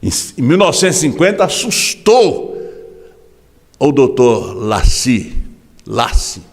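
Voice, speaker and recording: A man preaching a sermon as pastor in a Brazilian Portuguese accent, impassioned, swinging from hushed drops to sudden shouts. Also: mid-range voice, very animated, slow pace, long reverb tail. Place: large church hall.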